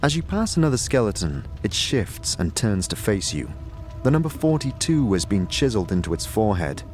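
A man's voice: on the low side, sing-song, brisk, a little mellow, faint music behind. A man narrating a scripted fantasy audio drama in a dramatic storytelling manner.

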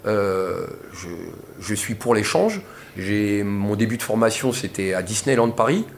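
A man's voice: on the low side, lively intonation, unhurried, slight background noise. A man from Portugal speaking in his thirties at a press interview, impassioned and insistent, repeating his points for emphasis. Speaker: protester giving a press interview. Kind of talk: press conference statement.

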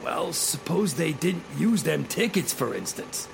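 A slangy gangland sidekick a man's performance speaks in a creaky, fairly high voice. He is eager to please and a bit dim, in old radio-drama style over engine noise. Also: sing-song, unhurried, full character voice. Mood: doubtful. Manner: slangy, deferential. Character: eager, dim, deferential male gangster henchman. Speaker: actor. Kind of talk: 1940s radio drama acting. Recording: clear car engine noise.

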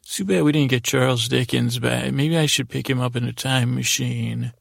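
Male podcast host for a rambling bedtime monologue: deeply creaky, dry close sound, halting delivery that keeps doubling back on itself. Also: dry recording. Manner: meandering, halting, frequent self-interruption.

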